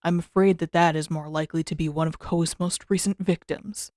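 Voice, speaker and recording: Woman voicing a low, grave old male mentor. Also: fairly even intonation, darkish tone, dry recording, studio quality, natural voice. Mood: grave warning. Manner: grave, measured, formal. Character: stern old male avatar spirit mentor. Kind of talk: fan fiction audiobook character voice.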